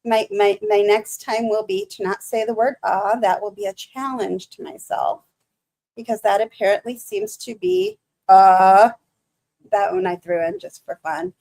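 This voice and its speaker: Halting, nervous woman with a Michigan accent. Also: medium pitch, lively intonation, vocal fry, darkish tone, low-quality recording. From Michigan, USA. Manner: halting, many restarts and fillers, nervous. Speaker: livestream host. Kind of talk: casual livestream chat with viewers.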